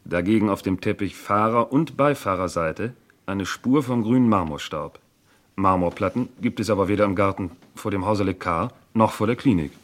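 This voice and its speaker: Ein Schauspieler spielt in einem Krimihörspiel einen gewissenhaften Polizeiinspektor, der präzise, knapp und aufzählend berichtet. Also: male voice, on the low side, moderate pace, natural voice. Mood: factual, precise. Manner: precise, clipped, itemizing. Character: diligent, matter-of-fact male police inspector, the chief's subordinate. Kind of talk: German radio crime play acting.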